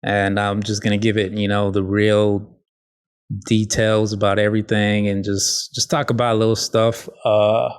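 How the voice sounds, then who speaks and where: A man hosting a casual solo podcast, talking in a halting, rambling, informal way with a slight lisp. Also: lowish voice, flat delivery, clear, resonant tone. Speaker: podcast host.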